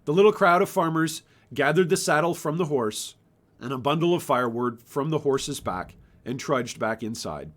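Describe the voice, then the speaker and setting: A man's voice: fairly high pitch, expressive, moderate pace. A man in his thirties, an author and host, reading a children's story aloud and presenting his book.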